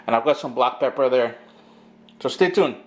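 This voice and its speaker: A man's voice: medium pitch, normal speed. Upbeat stuffy-nosed Caribbean man cooking. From Caribbean island. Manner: upbeat, chatty, slangy. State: stuffy nose from allergies. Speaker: cooking show host. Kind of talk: casual cooking demonstration. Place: home kitchen.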